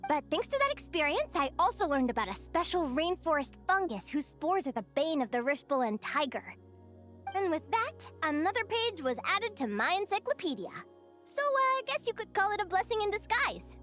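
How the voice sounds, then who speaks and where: A young female adventurer and naturalist from a video game. Her voice is high and swings freely up and down as she eagerly tells stories. She is cheerful, earnest and chatty, with music playing behind her. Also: normal speed, heavily acted. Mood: earnest, rueful. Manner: expressive, earnest, chatty, with small hesitations. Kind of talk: animated video game character dialogue. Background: background music.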